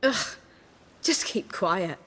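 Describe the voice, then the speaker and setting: An actress playing an excitable, self-assured playwright in a video game, with a theatrical and bossy manner. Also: female voice, medium pitch, takes it slowly, long reverb tail. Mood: impatient.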